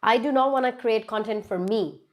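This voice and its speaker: Brief: woman, congested with a cold, fairly high voice, chatty live-stream host talking into her phone. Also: normal speed. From India. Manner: chatty, reads out viewer comments and answers them. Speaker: YouTuber hosting a live Q&A. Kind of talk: casual live-stream chat with viewers.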